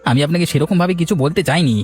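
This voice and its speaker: Man as a meek, quick-speaking household servant. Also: fairly high pitch, darkish tone, faint music behind, close to an everyday voice. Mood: meek, pleading. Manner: hesitant, respectful. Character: timid, deferential, honest household servant man. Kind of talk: dubbed cartoon dialogue.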